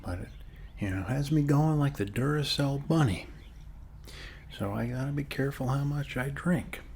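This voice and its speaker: A man speaking softly and unhurriedly in a friendly, casual ASMR roleplay, as a neighbor chatting one-on-one, with frequent pauses. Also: mid-range voice, vocal fry, slight background noise. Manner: soft-spoken, friendly, unhurried, pausing often. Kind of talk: ASMR roleplay, one-sided casual chat over coffee.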